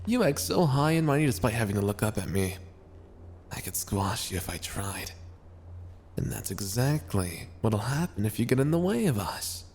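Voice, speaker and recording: A brutish, obsessive prince of hell, acted out by a man, speaks in a fairly low voice. He is blunt and brooding, with possessive menace, as in a yandere ASMR roleplay. There is slight noise behind him. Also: sing-song, normal speed, a little mellow. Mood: possessive, threatening.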